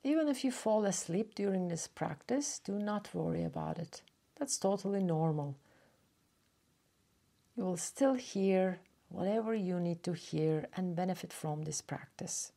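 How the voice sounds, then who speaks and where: Woman, yoga nidra teacher, lowish voice, creaky edges, gentle soothing instruction, long pauses. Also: expressive. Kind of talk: guided relaxation meditation, soothing instruction.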